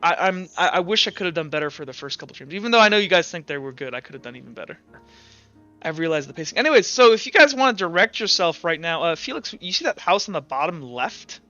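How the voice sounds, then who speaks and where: Male game master, informal and explanatory, high register, dull lo-fi roomy sound with faint music behind. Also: very animated, quick pace, bright, forceful tone. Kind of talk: casual talk running an online tabletop roleplaying game.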